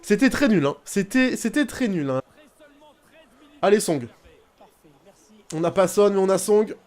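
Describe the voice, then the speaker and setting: A young man commenting casually on his football video game career, rambling, with bursts of excitement. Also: high-pitched, a little slow. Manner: chatty, rambling, bursts into excited shouting at goals. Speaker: gaming YouTuber.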